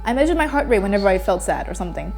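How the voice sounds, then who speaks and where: A woman's voice: mid-range voice, sing-song, rapid-fire, darkish tone, faint music behind. A nineteen-year-old woman from Myanmar, a native Burmese speaker, speaking candidly in a personal interview with hesitant restarts. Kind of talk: personal testimony.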